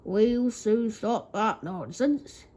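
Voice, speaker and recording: Woman voicing a proud, polite little engine, high-pitched. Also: drawn-out words, warm tone. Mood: determined. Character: polite, proud, plucky male tank engine.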